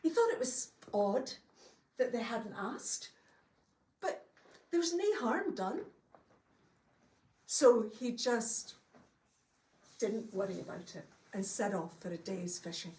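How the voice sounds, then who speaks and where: A middle-aged Scottish woman spins a folk tale for a live audience, chatty and homely, with folksy asides. Her voice sits high and is picked up from a distance in a reverberant hall. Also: animated, slow pace, pauses often, darkish tone. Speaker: storyteller. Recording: echoey room, distant mic.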